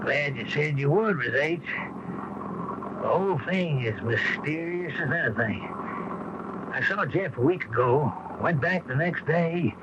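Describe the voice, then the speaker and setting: A man's voice: on the high side, expressive, a little slow. An actor in a radio drama playing an earnest, bewildered small-town man. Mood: uneasy, confiding. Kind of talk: 1980 radio drama acting. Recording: noisy, dull old radio broadcast.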